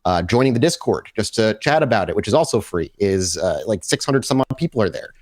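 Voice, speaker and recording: Male game master and podcast host: lowish voice, casual, conversational narration. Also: animated, brisk, darkish tone.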